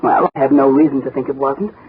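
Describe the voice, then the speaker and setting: An actress in a 1960s radio drama playing a brisk, flirtatious widow who talks bluntly and familiarly, with a husky voice. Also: female voice, a bass voice, fairly even intonation, full character voice. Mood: cool, guarded. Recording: tinny, band-limited 1960s radio recording.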